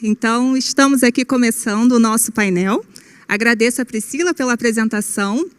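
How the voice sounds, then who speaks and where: A woman's voice: a little high, brisk. A woman moderating an online panel discussion in a friendly, conversational way, often tagging phrases with casual fillers.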